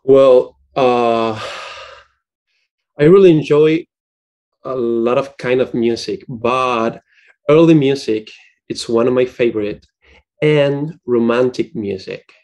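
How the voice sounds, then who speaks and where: A man's voice: medium pitch, slow pace, long pauses, darkish tone. A young man from Cuba with a Cuban Spanish accent, a music student and composer answering interview questions, searching for his words in English.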